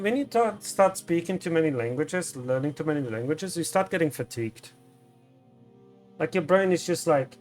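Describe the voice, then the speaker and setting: A man from Brazil whose first language is Portuguese, chatting rambly and swearing casually while streaming a game. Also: medium pitch. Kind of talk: casual live gameplay chat.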